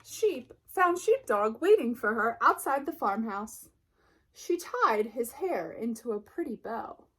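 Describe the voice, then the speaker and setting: A woman reading a children's picture book aloud as narrator, expressively and deliberately, with dramatic pauses. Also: on the high side, big pitch swings, a bit bright, husky. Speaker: storybook reader.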